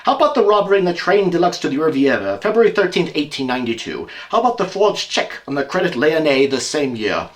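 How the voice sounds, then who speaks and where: Male performer as confident teasing detective, audio drama, brisk and theatrical, very animated intonation. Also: fairly high pitch. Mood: confident, accusing. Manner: quick, taunting, theatrical speech.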